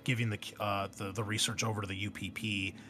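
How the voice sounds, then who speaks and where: A man's voice: on the low side, level tone, fast, noisy background. A man working as a game master, casually explaining a tabletop game's story in a hesitant way as he pieces details together from memory.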